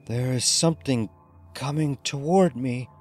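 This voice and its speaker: A man voicing a dreary, despairing dead man who speaks slowly and haltingly in a horror audio story. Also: mid-range voice, darkish tone, faint music behind, voice pushed up, natural voice. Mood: terrified. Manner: slow, halting, hopeless delivery. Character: dead man entranced, dreary, despairing, hearing terror approach.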